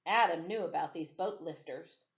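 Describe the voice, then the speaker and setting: A woman reading a children's story aloud as expressive storytime narration. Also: on the low side, moderate pace, muffled recording. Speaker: storytime reader.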